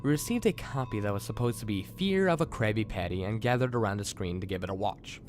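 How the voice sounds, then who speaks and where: A man's voice: lowish voice, lively intonation, fast, faint music behind. A man hosting and narrating a scripted creepypasta horror story. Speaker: host and narrator.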